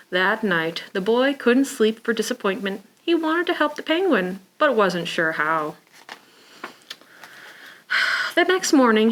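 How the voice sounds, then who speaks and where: A woman reading picture books aloud for a preschool storytime, speaking expressively and simply, as if to young children. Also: a little high. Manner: expressive, with sighs, gasps and exclamations; simple child-directed phrasing. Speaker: children's librarian storytime presenter.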